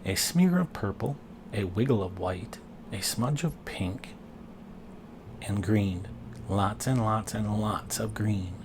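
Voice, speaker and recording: A man voicing an enthusiastic, encouraging wife in a children's storybook, with emphatic, deliberate words. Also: on the low side, background noise. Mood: delighted.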